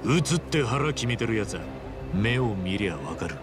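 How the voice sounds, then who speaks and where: A man voicing an easygoing but fearless Japanese tough traveller in a video game cutscene, with casual, rough street speech. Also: fairly low pitch, very animated, moderate pace, over music. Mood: calm, defiant.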